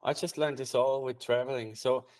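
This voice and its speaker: A man's voice: mid-range voice, steady tone, normal speed, a little mellow. Swiss man with a Swiss German accent. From Switzerland. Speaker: trader and guest. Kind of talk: casual interview chat.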